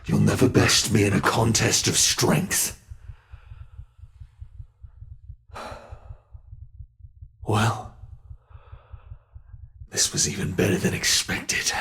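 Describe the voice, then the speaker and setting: A man's voice: on the low side, vocal fry, fairly bright tone, exaggerated voice. A man voicing a smug, predatory male monster who teases the prey he is hunting, in an ASMR horror roleplay. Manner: teasing, taunting.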